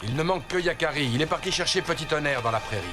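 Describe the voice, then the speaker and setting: Man acting an earnest, higher-voiced cartoon tribesman. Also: expressive, rapid-fire, close to an everyday voice. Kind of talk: animated series acting. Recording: music underneath.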